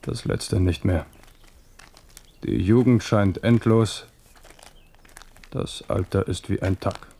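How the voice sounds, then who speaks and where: Give the radio play an ageing, amorous king, low and very mellow in tone. He muses in measured phrases, wry and a little wistful, like a ruler looking back on his youth. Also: creaky, husky, heavily acted. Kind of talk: German radio play acting.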